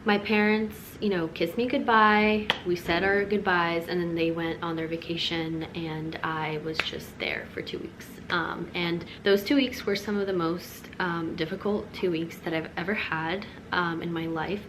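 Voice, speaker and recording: A woman in her twenties from the Czech Republic, telling a rambling, chatty story as a vlogger. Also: on the low side. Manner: chatty, rambling with fillers and restarts. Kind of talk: casual storytime. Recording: steady background noise.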